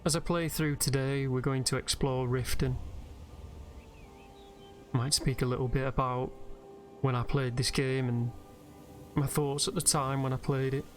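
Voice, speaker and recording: Male relaxation host, mid-pitched and very slow, soothing meditation delivery, faint music underneath. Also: steady tone. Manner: gentle, unhurried, drawn-out phrasing with pauses. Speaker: guided relaxation host and gamer. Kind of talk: soothing guided meditation narration over gameplay. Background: soft background music from a video game.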